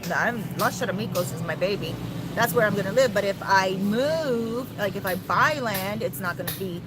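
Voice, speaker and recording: A woman's voice: mid-range voice, unhurried. Chatty woman filming on her phone. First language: English. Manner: chatty, reads viewer messages aloud, mixes in simple Spanish. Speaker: vlogger on a livestream. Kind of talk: casual livestream chat. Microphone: handheld phone. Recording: loud music behind.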